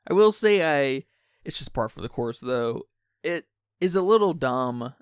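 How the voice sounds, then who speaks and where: A man's voice: mid-range voice, expressive, a little slow, clear, resonant tone, no room sound. A man co-hosting a podcast, casually giving his opinions on films with dry humour. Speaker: podcast co-host. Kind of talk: casual film discussion.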